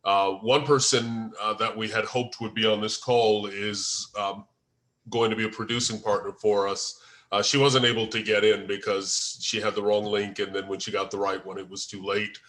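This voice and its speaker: This is an American man with a fairly low voice. He talks in an informal, unscripted way, as a writer in an online group discussion. His delivery is halting and hesitant, as he searches for the next word. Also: level tone, fairly bright tone. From United States. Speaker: screenwriter and first-time filmmaker. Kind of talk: informal discussion after a table read. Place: online group video call.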